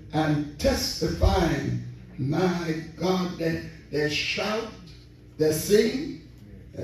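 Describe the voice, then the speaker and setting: A slow, measured man with a high voice preaches fervently, repeating his lines and drawing answers from the congregation. He sounds distant from the microphone in a booming, echoing sanctuary. Faint noise sits under the recording. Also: darkish tone. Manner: fervent preaching with repeated phrases and call-and-response. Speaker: assistant pastor preaching. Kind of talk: sermon. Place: large church sanctuary.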